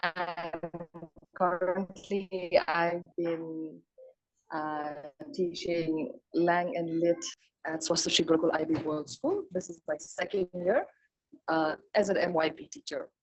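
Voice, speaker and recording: A woman teacher from Nepal, introducing herself in a measured, formal way. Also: lowish voice, lively intonation, low-quality recording.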